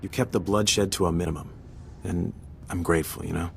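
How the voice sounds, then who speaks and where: Low, easygoing, earnest young-hearted male operative. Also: steady tone, brisk, vocal fry, slight background noise. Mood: grateful. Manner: informal, earnest, hesitant. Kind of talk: video game voice acting, casual dialogue.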